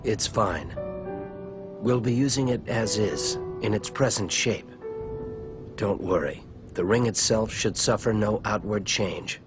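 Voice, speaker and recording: A man plays a calculating researcher in a video game drama. The voice is fairly low, measured and formal, calmly explanatory. Music plays clearly behind it. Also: close to an everyday voice. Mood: calm, clinical. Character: calm, calculating male researcher of grim schemes.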